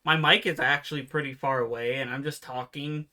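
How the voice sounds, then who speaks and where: A man co-hosting a casual podcast, with a naturally quiet voice, explaining card game rules in a relaxed, meandering way. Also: medium pitch, fairly quick. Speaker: podcast co-host. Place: home room with fan noise removed by software.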